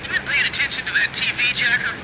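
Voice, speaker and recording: An actor playing a brash, gossipy buddy in a video game, talking in a casual, slangy, animated way. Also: male voice, very high-pitched, fast. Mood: egging on. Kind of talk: video game cutscene acting. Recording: loud background noise.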